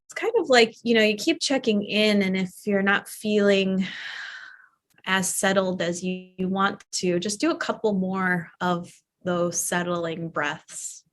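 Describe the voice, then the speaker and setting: A woman teaching a yoga nidra class, talking softly and soothingly with long pauses as she guides a guided relaxation. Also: mid-range voice. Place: live online or studio class.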